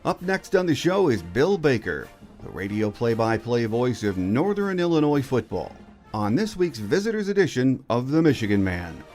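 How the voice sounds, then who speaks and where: A man's voice: mid-range voice, very animated, moderate pace, darkish tone, soft background music. A man in his sixties with an American accent, hosting a sports podcast with introductions and interview questions.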